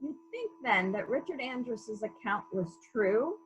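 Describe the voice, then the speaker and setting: A woman playing a calm, persistent police investigator in a murder mystery reading, formal, measured and politely probing. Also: fairly high pitch, low-quality recording.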